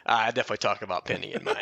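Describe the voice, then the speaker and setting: A man's voice: medium pitch, gravelly, pushed and bright, husky. A man hosting a podcast, reading a comic biography aloud with casual, jokey asides and a readiness to laugh. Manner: jokey, often breaking into laughter mid-sentence. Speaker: podcast host.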